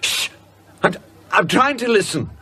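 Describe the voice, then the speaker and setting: An actor playing a tense, brusque doctor in a radio horror drama. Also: male voice, expressive, measured pace, over music. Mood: alarmed, brisk. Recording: noisy rumbling background.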